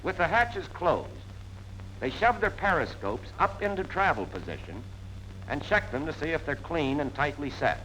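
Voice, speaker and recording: A man's voice: a little high, big pitch swings, moderate pace, pushed and bright. An American man gives plain-spoken, punchy instruction in the style of a 1940s military training film narration. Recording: noisy 1940s film soundtrack.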